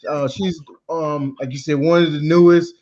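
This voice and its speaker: A man's voice: on the high side, steady tone, moderate pace, a little mellow. Casual male co-host, dull lo-fi sound. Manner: loose, repeats himself. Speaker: podcast co-host. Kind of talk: casual podcast chat.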